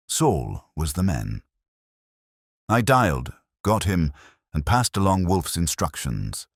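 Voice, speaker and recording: A man's voice: deep voice, no echo, clean studio sound. A man narrating a hardboiled detective story as a scripted audiobook, in a wry, dramatic manner.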